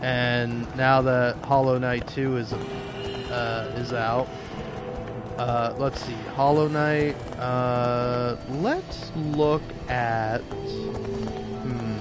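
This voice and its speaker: Man, mid-pitched voice, rambling casual monologue, music behind. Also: fairly even intonation, very slow. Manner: rambling, self-questioning. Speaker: video creator.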